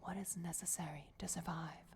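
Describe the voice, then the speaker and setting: A woman's voice: fairly low pitch, fairly even intonation, moderate pace, noisy background, natural voice. A woman voicing a regal, commanding vampire goddess in a solemn whispered fantasy ASMR roleplay. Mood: solemn, intimate. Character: ancient vampire goddess, commanding, softly menacing, bestowing destiny.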